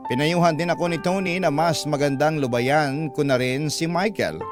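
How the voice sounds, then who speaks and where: A man reading as a lovelorn young letter writer, confiding hopefully in first-person radio narration, in a measured way. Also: mid-range voice, natural voice.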